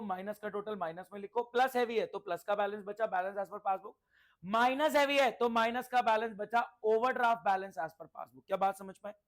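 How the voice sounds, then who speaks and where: A man teaching a class interactively, instructive and repetitive, often checking understanding with questions and mixing in English terms. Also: sits high. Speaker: accountancy teacher.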